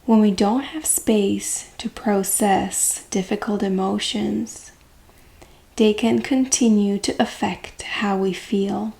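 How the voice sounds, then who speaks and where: A woman leading a guided meditation as a coach, speaking gently and calmly with long, unhurried pauses. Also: mid-range voice, gravelly.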